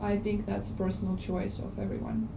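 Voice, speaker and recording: A woman's voice: medium pitch, level tone, brisk, gravelly. Blunt Russian-accented woman in an echoey hall. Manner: frank, blunt, hesitant fillers. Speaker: discussion club participant. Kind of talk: spoken opinion in a film discussion. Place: cinema auditorium. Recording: echoey hall, distant, noisy.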